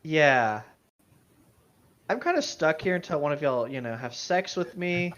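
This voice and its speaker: A man's voice: on the high side, animated, a little slow, fairly bright tone, lo-fi sound. A man voicing a shamelessly forward, pleading demon temptress in an improvised comic skit. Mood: flirty.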